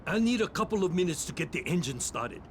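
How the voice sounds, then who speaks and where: An actor playing an urgent, practical survivor of a zombie apocalypse in a video game, earnest and emphatic. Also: male voice, high-pitched, big pitch swings, fast, noisy background. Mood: tense, urgent. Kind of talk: video game cutscene acting.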